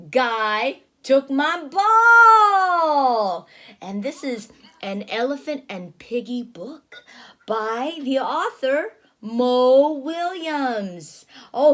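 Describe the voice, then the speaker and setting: A woman reads a picture book aloud to a young child, gasping and asking the child questions as she goes. Her voice sits high, and she speaks very slowly and deliberately. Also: a bit bright. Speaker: teacher reading aloud.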